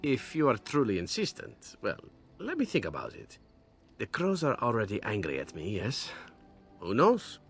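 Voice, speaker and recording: An actor playing a suave, teasing male elf assassin in a video game, smooth and conspiratorial. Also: on the low side, wildly swooping pitch, normal speed, soft background music.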